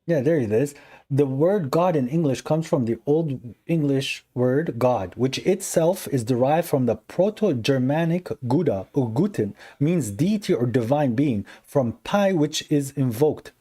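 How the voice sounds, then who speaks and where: A man hosting a live call-in religious debate on a phone stream, explaining his points earnestly and briskly. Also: medium pitch, lively intonation, dark tone, breathy edge. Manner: earnest, explanatory, quick to dismiss callers. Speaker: livestream host debating callers. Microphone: phone livestream.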